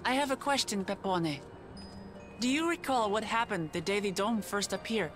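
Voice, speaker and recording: Woman doing a young earnest princess from a video game, average pitch, plain-spoken and curious, music playing. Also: lively intonation, normal speed, lightly acted. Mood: puzzled, earnest. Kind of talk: scripted video game cutscene acting.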